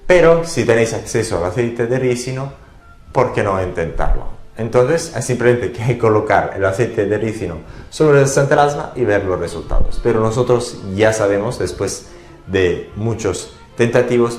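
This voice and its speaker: A man's voice: lowish voice, roomy sound. A man born in Italy, speaking as the founder of an aesthetics business, hesitant with many fillers and restarts. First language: Italian. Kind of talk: promotional talk and interview.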